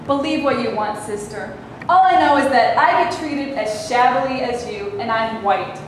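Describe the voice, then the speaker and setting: A woman's voice: a little high, moderate pace, lightly acted. A woman playing a haughty, tactless bit-part actress in a staged play reading, snide and brash. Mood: snide, taunting. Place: indoor room, live staged reading. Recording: distant mic, echoey noisy room.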